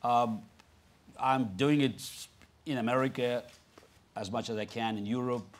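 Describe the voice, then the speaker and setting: Russian-accented male guest, 50s, halting speech with many fillers, theatre stage. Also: medium pitch, fairly even intonation. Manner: halting, with many restarts and "you know" fillers. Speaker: guest speaker. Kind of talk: onstage conversation before an audience. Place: large theatre stage, indoors.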